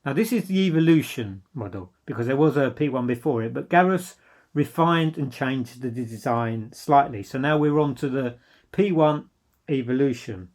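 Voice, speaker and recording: A man's voice: mid-range voice, animated, moderate pace, a little mellow. A man presenting an informal product review as a dealer, speaking in an enthusiastic, emphatic way.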